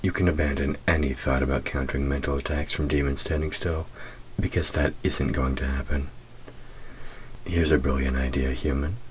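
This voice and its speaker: An extremely deep male demon voiced by a man speaks directly to the listener in an audio roleplay. He taunts and teases like a predator toying with a trainee hunter, with menace under the words. A faint background noise sits behind the voice. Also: steady tone, normal speed. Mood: sardonic, impatient. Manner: teasing, menacing, drops into whispers.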